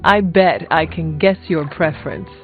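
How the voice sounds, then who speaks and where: An actor playing a direct, formal hero in a video game, with a plain and measured way of talking. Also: male voice, on the high side. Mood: curious, teasing. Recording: dull game audio under music.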